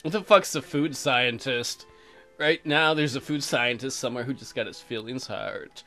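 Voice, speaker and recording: A man's voice: mid-range voice, normal speed, sharp tone, soft background music. A man hosting a let's play, mixing crude, mocking commentary with reading game text aloud.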